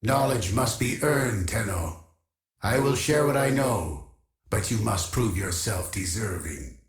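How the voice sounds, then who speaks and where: Pompous ai archivist from a video game, obsessive n solemn, talks real slow, huge echo on it. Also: male voice, on the low side, lively intonation. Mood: commanding, solemn. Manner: pompous, measured, grandly solemn. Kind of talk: scripted video game character dialogue. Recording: long echoing reverb.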